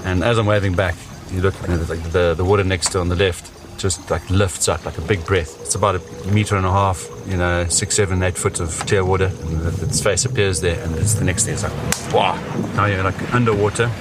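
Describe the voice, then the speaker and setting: Low-voiced man storytelling over clear background noise. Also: fairly even intonation. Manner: halting, vivid, repeats words for effect. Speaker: expedition leader recounting experiences. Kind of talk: spontaneous first-person storytelling. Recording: clear water and outdoor noise behind. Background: water and outdoor ambience.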